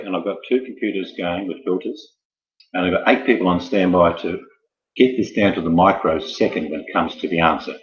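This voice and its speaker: Deep voiced guy running a casual online quiz, rambly and jokey, echoey room. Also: highs cut off. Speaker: quiz host. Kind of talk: informal live online quiz hosting.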